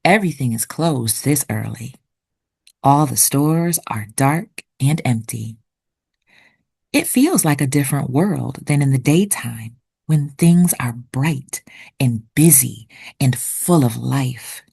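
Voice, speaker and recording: A woman narrating for children like a teacher, with deliberate, expressive enunciation. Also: a bass voice, creaky, slightly rough. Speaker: read-aloud host and narrator. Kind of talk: children's picture book read-aloud with vocabulary teaching.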